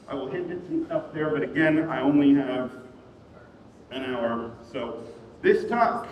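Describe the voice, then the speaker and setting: A man giving a casual, self-deprecating conference talk, with lots of ums and restarts, in a room at a wedding venue. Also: fairly high pitch. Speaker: conference speaker. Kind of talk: casual rambling tech talk. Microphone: room mic heard from a distance. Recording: echoey hall, distant, muffled.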